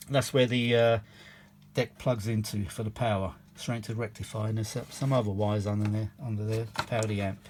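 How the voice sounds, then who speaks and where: A man's voice: mid-range voice, a little mellow, slight background noise. Chatty, rambling man at a workbench. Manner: chatty, rambling, matey, with tag questions like "eh". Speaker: vintage audio repair hobbyist and video host. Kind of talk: casual hands-on workbench commentary. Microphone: phone held or propped at the workbench.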